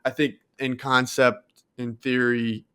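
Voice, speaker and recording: Clear, smooth-voiced male podcast co-host. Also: medium pitch, flat delivery, takes it slowly, a bit bright. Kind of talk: casual chat.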